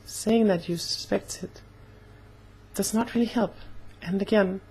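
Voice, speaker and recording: A young woman talking casually in a personal vlog, slightly hoarse, with an earnest, halting way of speaking. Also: mid-range voice, fairly even intonation, darkish tone, rough recording. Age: young adult. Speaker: vlogger.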